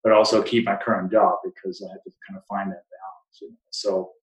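A man's voice: low voice, little pitch movement, fairly quick, muffled recording. A man from Denmark with a Danish accent, a guest talking casually in an interview, rambling with many restarts. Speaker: guest investor. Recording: echoey room.

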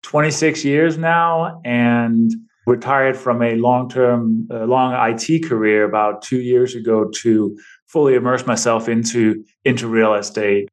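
Middle-aged guy chatting on a podcast, keeps restarting, says "right?" a lot. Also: on the low side. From Denmark. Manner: restarts often, tags points with "right?". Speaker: podcast guest. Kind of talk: casual interview talk.

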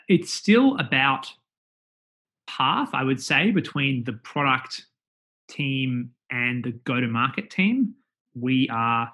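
A man's voice: medium pitch, fairly bright tone. A man with an Australian accent, a company executive talking as a guest in a casual interview, chatty and full of fillers and restarts.

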